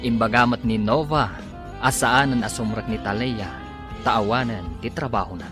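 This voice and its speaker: A man's voice: mid-range voice, normal speed. A man with an Ilocano Filipino accent delivering scripted dramatic narration as a radio drama announcer. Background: music.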